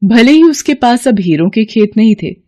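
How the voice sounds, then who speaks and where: A woman giving expressive scripted narration of a children's moral story. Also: fairly high pitch, normal speed.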